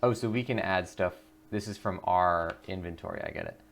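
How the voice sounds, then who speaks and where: A man's voice: deep voice, a little fast. A man hosting a gaming video, giving casual commentary and reading the game's text aloud. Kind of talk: casual game commentary with read-aloud narration.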